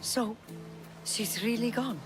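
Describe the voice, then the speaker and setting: Casting: loyal, earnest young woman in a game drama, higher register, music behind. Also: takes it slowly. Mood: sad. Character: worried loyal young courtesan seeking her friend. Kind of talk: video game dialogue acting.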